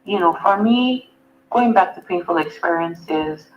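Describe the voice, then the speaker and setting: A woman's voice: lowish voice, measured pace, highs cut off, slight background noise. A Nigerian woman, a wellness coach, earnestly answering summit interview questions and repeating words for emphasis.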